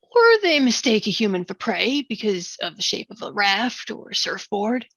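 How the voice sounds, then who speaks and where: A woman's voice: mid-range voice, lively intonation, normal speed, a bit bright, slightly rough, dry recording. A woman reading a stubborn, dismissive beach-town mayor in a musical comedy table read, glib and insistent. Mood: defensive.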